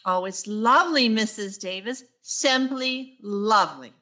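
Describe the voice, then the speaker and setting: A woman playing a wry schoolteacher with quick deadpan asides in a vintage radio sitcom style. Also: mid-range voice. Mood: politely cheerful.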